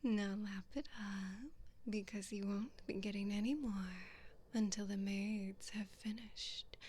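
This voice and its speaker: Female performer as cruel evil queen for ASMR roleplay: breathy whisper, extremely slow delivery, condescending and possessive. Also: medium pitch, darkish tone. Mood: commanding, taunting. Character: cruel, mocking evil queen toying with her captive.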